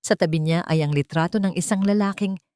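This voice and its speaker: A woman's voice: fairly low pitch, fairly quick, dark tone, no echo. A woman with a Filipino accent narrating a dramatic scripted story in a solemn manner.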